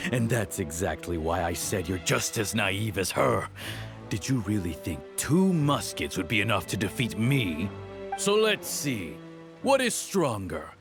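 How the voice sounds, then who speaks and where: A man's voice: on the low side, big pitch swings, slow, music in the background, full character voice. A man playing a haughty, ruthless aristocratic father in a video game melodrama, speaking in a pompous, condescending way. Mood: haughty, menacing.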